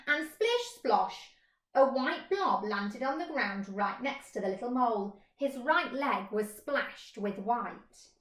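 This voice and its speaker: High-pitched, animated woman reading a storybook. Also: slow pace, echoey room, rough recording. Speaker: teacher reading a story to pupils. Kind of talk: expressive children's storybook narration.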